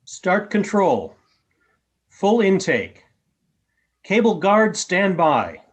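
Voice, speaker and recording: A man playing a calm, formal alien in a sci-fi radio play, speaking in measured, clipped announcements. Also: high-pitched, slightly rough, full character voice. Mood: commanding, urgent.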